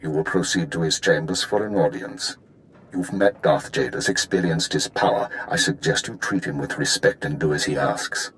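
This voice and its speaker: A male intelligence chief in a space-opera game. His low voice is formal and measured, giving orders with grave authority. A faint rumbling noise lies underneath. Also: a bit bright, rough recording. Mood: stern, grave. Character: stern, measured male spymaster superior. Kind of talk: video game voice acting.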